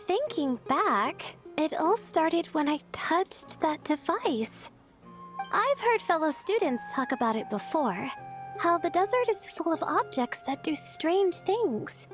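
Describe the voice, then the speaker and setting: A woman voicing a gentle, sleepy, timid young student in an anime fantasy game, with a soft, girlish voice and hesitant, measured delivery. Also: extremely high pitch, very animated, music in the background, heavily acted. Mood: calm, caring.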